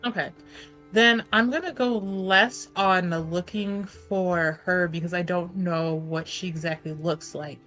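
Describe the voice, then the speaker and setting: A woman's voice: lowish voice, steady tone, a little slow, fairly bright tone, faint music behind. A woman chatting casually as a player in a tabletop roleplaying game, informal and thinking aloud, with the odd swear word.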